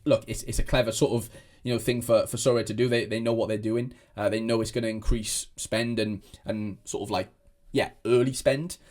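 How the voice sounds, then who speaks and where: A man's voice: fairly low pitch, fairly even intonation, brisk, hardly any pauses, a little mellow. Rambling young man. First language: Welsh. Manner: rambling, many restarts and fillers. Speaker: fantasy football content creator. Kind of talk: casual chat to camera.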